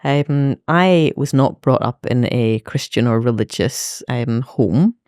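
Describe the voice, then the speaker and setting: A middle-aged woman with a Belfast accent, a medical educator speaking reflectively as an interview guest, with many pauses and fillers. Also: extremely low pitch, darkish tone, no room sound, studio quality. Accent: Northern Irish, Belfast. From Northern Ireland. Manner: thoughtful, many fillers and restarts.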